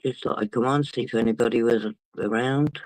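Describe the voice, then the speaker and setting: A man's voice: mid-range voice, steady tone, fairly quick, darkish tone, no echo, poor audio. A man from England with a British accent, casually chatting with viewers on a late-night phone stream because he can't sleep. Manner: chatty, greets viewers by name. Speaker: livestream host.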